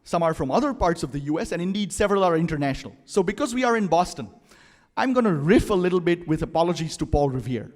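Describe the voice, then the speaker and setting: A man giving relaxed, chatty opening remarks at a conference, with fillers and questions to the audience. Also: fairly high pitch, fast. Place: conference hall. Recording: long hall reverb.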